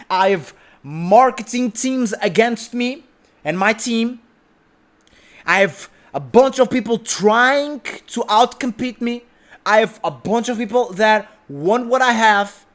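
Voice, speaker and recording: Young guy, pumped-up motivational talk, high voice jumping all over, keeps going "right?". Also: a bit bright. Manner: emphatic, repeats himself, keeps asking "right?". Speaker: motivational speaker. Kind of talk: motivational monologue.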